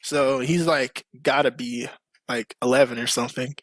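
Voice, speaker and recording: A man's voice: mid-range voice, steady tone, moderate pace, fairly bright tone, no echo. A man hosting a podcast in casual, opinionated panel chat, with slangy phrasing. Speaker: podcast host.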